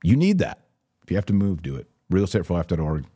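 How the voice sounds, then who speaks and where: Male podcast commentator: very low, mellow voice, conversational but emphatic delivery. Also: big pitch swings, fast talker, slightly rough, dry recording, studio quality. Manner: conversational, emphatic, acts out imagined exchanges. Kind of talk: casual religious commentary, answering listener questions.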